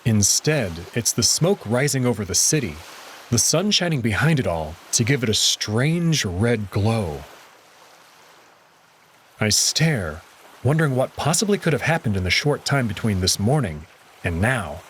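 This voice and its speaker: A man's voice: medium pitch, big pitch swings, measured pace, slight background noise. A man narrating a visual novel in the first person, reading it expressively and dramatically.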